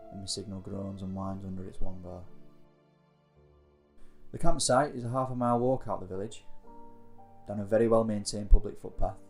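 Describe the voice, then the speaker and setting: A man narrating a scripted first-person audio story in a descriptive, reflective manner. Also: on the low side. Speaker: narrator of an audio story, playing a scout leader.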